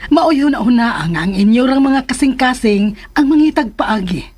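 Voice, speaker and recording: A woman's voice: fairly high pitch, expressive, moderate pace, darkish tone, lightly acted. A woman with a Filipino accent playing a supportive, probing mother of a grown son in a radio drama. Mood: proud, advising. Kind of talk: Philippine radio drama acting. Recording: faint noise and ambience.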